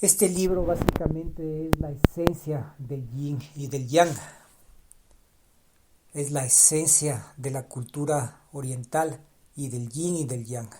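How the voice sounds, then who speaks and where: A man's voice: fairly high pitch, steady tone, slow, dark tone. A man speaking alone on a podcast in a reflective way, often restarting his phrases and repeating ideas for emphasis, his first language Spanish. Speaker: podcast host.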